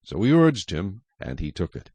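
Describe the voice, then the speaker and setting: Low-voiced man narrating scripture. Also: very animated, normal speed, darkish tone, husky, no echo.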